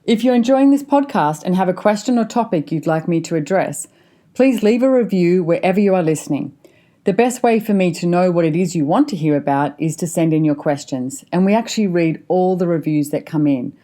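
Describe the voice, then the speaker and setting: A woman with a lowish, mellow voice reads a scripted podcast sign-off. She sounds warm and appreciative. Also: animated, fairly quick.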